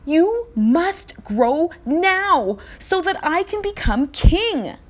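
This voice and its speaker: A woman's voice: extremely high pitch, very animated, extremely slow, voice pushed up, exaggerated voice. A woman voicing an earnest, dramatic young boy in a storybook read-aloud, with an emphatic, pleading delivery. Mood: stern, pleading. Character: young boy Sanji, earnest, dramatic, devout would-be king.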